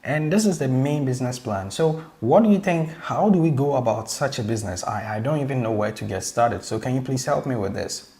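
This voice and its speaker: Male host, casual tutorial delivery, eager and conversational, mid pitch. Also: lively intonation, a little fast, hardly any pauses, warm tone. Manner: eager, chatty, addresses the audience directly.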